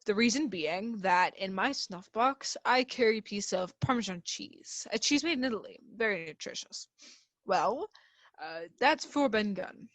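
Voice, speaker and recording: Woman acting a lively, genial gentleman doctor. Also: mid-range voice, measured pace, no room sound. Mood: genial, confiding. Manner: hesitant, chatty, avuncular. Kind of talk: radio play acting.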